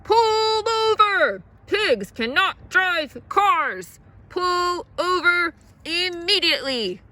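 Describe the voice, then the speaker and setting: A woman voicing a stern male police officer from a storybook, official and deliberate. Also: very high-pitched, animated, sharp tone, pitched above the performer's own voice, exaggerated voice. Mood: commanding. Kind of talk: children's storybook read aloud.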